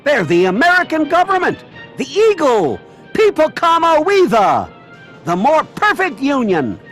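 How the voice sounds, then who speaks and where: A man's voice: extremely high pitch, huge pitch swings, unhurried, fairly bright tone, faint music behind, full character voice. A man voicing a zealous old small-town patriot in a video game, folksy, rambling and fervent. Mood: excited, fervent.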